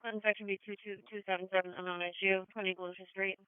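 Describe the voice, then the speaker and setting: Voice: medium pitch, flat delivery, fast, clear, resonant tone, dry recording, phone-quality sound. An American emergency dispatcher giving clipped, procedural calls over a two-way radio, heard on a scanner feed. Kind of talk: police and EMS radio dispatch.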